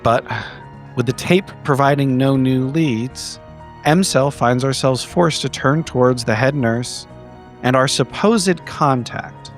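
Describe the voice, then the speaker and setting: A man narrates a horror roleplaying recap in a mid-pitched voice. His phrasing is measured, formal and wordy, like careful storytelling, with music playing underneath. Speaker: player in a tabletop horror roleplaying game. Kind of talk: in-character recap narration for an actual play. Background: background music.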